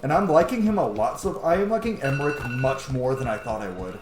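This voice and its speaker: A man's voice: mid-range voice, expressive, a little fast, roomy sound. A man giving casual, chatty, enthusiastic gameplay commentary, with some offhand swearing. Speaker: host of a gameplay series.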